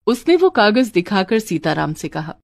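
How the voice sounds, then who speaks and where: A woman's voice: mid-range voice, big pitch swings, moderate pace, gravelly, no echo. A woman narrating a scripted cartoon story as a storyteller.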